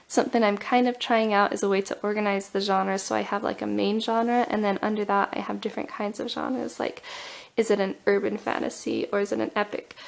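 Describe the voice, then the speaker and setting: A woman with a cold talks at a middle pitch in a relaxed home walkthrough. Her voice carries some gravelly fry, and she stays chatty and a bit nerdy, thinking aloud as she goes. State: has a cold. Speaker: booktuber. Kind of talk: casual walkthrough vlog. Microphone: overhead camera on table, hands only.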